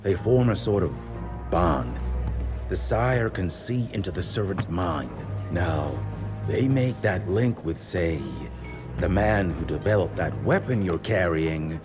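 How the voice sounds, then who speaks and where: Brief: steady folksy veteran, low and grave, over film music. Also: male voice, takes it slowly. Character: gruff seasoned male hunter veteran. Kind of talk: game cutscene acting. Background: music score.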